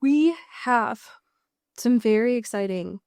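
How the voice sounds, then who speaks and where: An American woman co-hosting a podcast in casual, comedic chat, riffing with mock exasperation and drawn-out emphasis. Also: fairly high pitch, expressive, darkish tone, clean tone, no echo. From US Pacific Northwest. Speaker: podcast co-host.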